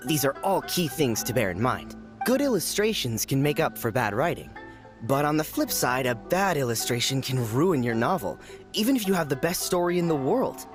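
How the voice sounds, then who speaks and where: A man voicing an opinionated light novel fan who lectures in a lively way. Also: mid-range voice, moderate pace, soft background music, close to an everyday voice.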